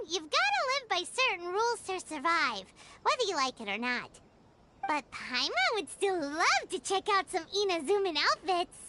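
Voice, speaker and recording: A woman's voice: very high-pitched, very noisy, exaggerated voice. A woman voicing a small, childlike, cheeky fairy companion in a video game, who refers to herself in the third person. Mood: indignant. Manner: talks about self in third person. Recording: loud cheerful game music behind.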